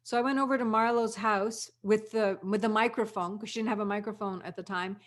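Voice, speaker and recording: A woman's voice: mid-range voice, steady tone, fairly quick. A woman in an audio drama cast, telling anecdotes in casual group chat.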